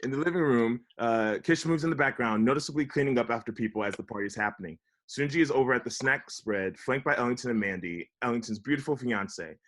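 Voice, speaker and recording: A man's voice: medium pitch, fast, hardly any pauses, a bit bright. A man reading out stage directions as narrator for a comedy script table read.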